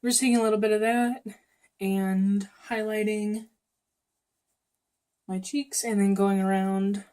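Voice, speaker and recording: A woman's voice: medium pitch, little pitch movement, a little slow, dark tone. A young woman with a slight cold, chatting casually through a makeup tutorial with lots of ums and self-corrections. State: sniffly, coming down with a cold. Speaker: beauty vlogger.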